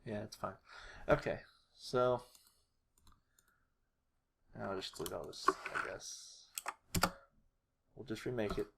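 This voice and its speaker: A man's voice: lowish voice, fairly even intonation, takes it slowly, creaky, pauses often, noisy background. Casual, hesitant man thinking aloud. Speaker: animator, video creator. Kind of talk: casual screen-recorded tutorial narration.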